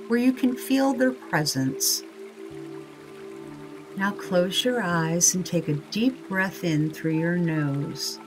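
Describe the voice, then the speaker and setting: A woman guiding a scripted meditation in a calm, unhurried, soothing manner. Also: low-pitched. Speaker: meditation guide. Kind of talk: scripted guided meditation narration.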